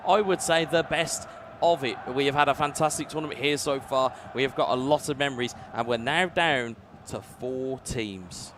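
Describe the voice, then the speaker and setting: A man calls an esports football match play by play, his voice sitting at a middle pitch. He turns excitable and booming whenever a chance comes. Faint traffic noise hums in the background. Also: normal speed, few pauses. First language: English. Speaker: esports commentator. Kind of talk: play-by-play commentary.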